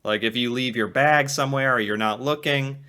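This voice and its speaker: Man from the United States, bright voice, mid pitch, musing and conversational. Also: fairly quick. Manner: musing, conversational, laughs a little. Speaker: co-host reacting to a video. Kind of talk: casual reaction commentary.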